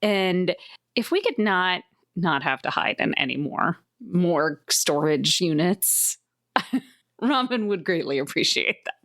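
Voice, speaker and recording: Lively, playful woman player, dry sound. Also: on the low side, slow pace, no room sound. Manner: playful, informal. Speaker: tabletop game player. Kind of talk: casual banter.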